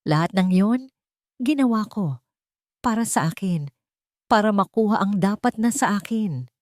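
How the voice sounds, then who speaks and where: A woman's voice: medium pitch, animated, measured pace, darkish tone, no echo, studio quality, voice pushed up, lightly acted. A woman voicing a bitter, vengeful villainess full of envy, emphatic and accusing, in a narrated romance drama. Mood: vengeful gloating.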